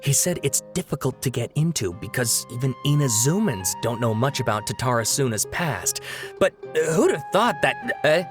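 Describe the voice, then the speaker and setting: A man's voice: mid-range voice, sing-song, normal speed, over music, close to an everyday voice. A man playing a fussy, earnest young student. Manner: fussy and earnest, hesitant with many fillers. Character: earnest young male student writing an essay.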